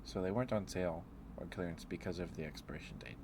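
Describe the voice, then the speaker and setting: Low-pitched, soft-spoken man rambling, noisy recording. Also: fast talker. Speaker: live streamer. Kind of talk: rambling ASMR livestream chat.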